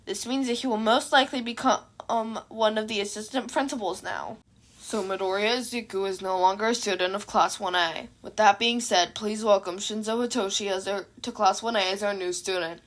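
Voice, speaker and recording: Woman as a deadpan, drawling male teacher, pitched down. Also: a little fast, close to an everyday voice. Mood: bored, flat. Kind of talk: fan fiction audiobook character voice.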